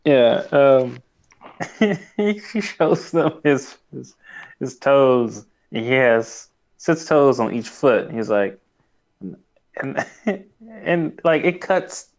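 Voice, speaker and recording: Male podcast co-host, average pitch, slightly lo-fi sound, hesitant and easily amused casual recap. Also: animated, drawn-out words.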